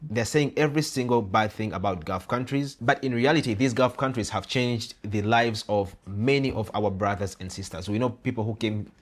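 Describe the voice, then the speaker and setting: A man's voice: lowish voice, moderate pace, hardly any pauses. A young man, hosting a casual interview in an earnest, emphatic way and often repeating his phrases. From Uganda. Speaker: host.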